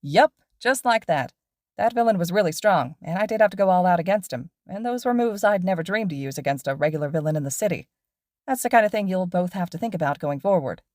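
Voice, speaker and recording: A woman voicing a loud, cheerful male hero teacher with an exuberant, projecting manner. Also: on the low side, expressive, quick pace, no room sound, clean studio sound, close to an everyday voice. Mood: upbeat.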